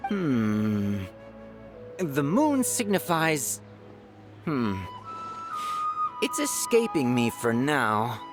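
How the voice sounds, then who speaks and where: Slow, halting novice fortune-teller. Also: mid-range voice, sing-song, over music. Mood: pondering. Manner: halting, pondering. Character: gentle, absent-minded novice fortune-teller. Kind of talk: video game character acting.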